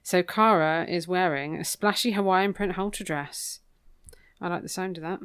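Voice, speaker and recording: A woman's voice: on the low side, moderate pace, a bit bright, clear, resonant tone. A British woman co-hosting a podcast, casually recapping a book in an informal, gossipy, wry way. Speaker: podcast co-host.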